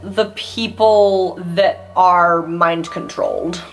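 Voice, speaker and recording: A woman's voice: on the low side, fairly even intonation, slow, faint music behind. A woman chatting casually as a player in a tabletop roleplay game.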